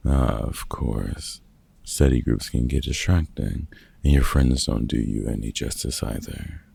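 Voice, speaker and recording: A man playing a gruff, wry older vampire hunter in an ASMR roleplay, a fatherly mentor with a very gravelly, husky voice and dry, teasing delivery. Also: rumbling low, mellow, full character voice. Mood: mock exasperated. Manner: dry, teasing, hesitant with unfamiliar slang. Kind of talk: ASMR roleplay audio drama, one-sided conversation.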